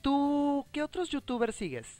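A woman's voice: high voice, sing-song, measured pace, warm tone, no echo. A woman with a Mexican accent, hosting a casual interview chat. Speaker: host.

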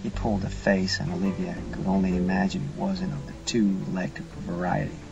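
A man narrates an audiobook drama in a low voice with a heavy creak. The delivery is scripted and dramatic. The sound is a little dull, with music clearly playing behind him. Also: completely flat delivery, brisk. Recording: muffled recording with music behind.

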